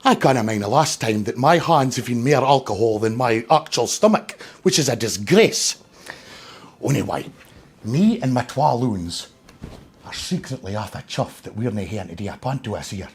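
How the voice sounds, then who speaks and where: A man's voice: mid-range voice, expressive, moderate pace, husky. A man playing a chatty, cheeky middle-aged pantomime dame, gossiping in an exaggerated way with a North-East Scottish Doric accent. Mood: cheery, gossipy. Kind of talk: comic pantomime monologue to the audience.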